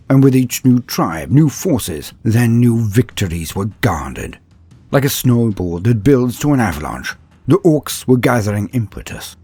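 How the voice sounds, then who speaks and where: A man tells a comedic war tale in a grand, mock-heroic style, slipping in dry asides. His voice is slightly husky and swings widely in pitch. The sound is dry and close, with soft music underneath. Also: no room sound. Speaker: storyteller. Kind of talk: comedic mock-epic story narration.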